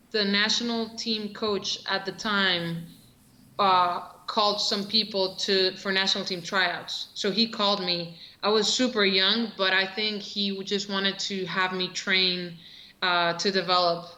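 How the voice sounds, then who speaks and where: Bright-voiced Costa Rican woman telling her story. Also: medium pitch, reverberant, rough recording. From Costa Rica. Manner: relaxed storytelling with many fillers and restarts. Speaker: interview guest, professional soccer player. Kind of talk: casual video-call interview.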